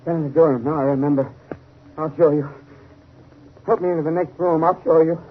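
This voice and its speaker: An actor playing a desperate, dying man with halting, labored speech in 1930s radio drama style, on an old recording. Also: fairly high pitch, steady tone, slightly rough, phone-quality sound. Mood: bitter, straining. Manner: halting, labored, gasping delivery.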